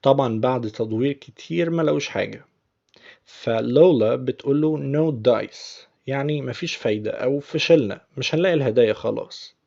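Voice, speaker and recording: A man's voice: medium pitch, gravelly, darkish tone, highs cut off. Patient Egyptian man teaching English. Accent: Egyptian Arabic. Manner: patient, repeats phrases slowly before explaining. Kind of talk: explaining film lines in a language lesson.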